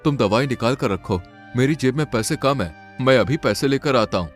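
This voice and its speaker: A man's voice: medium pitch, animated, normal speed, close to an everyday voice. A man voicing a poor, desperate village father, pleading and sorrowful, in a story over music.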